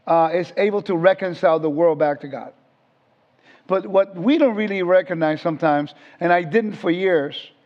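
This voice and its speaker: A man's voice: high voice, darkish tone. A man in his fifties from Puerto Rico, giving an informal Bible lesson and often quizzing his class. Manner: conversational, asks the audience questions. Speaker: Bible teacher. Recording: dull lo-fi sound.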